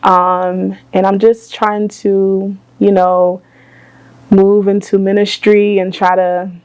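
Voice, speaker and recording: A young woman telling her life story in a relaxed interview, talking softly with frequent ums and small laughs. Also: medium pitch, slow pace, rough recording. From born in Ghana, raised in the US. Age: young adult. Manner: soft-spoken, many ums and little laughs. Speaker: guest. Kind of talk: casual interview.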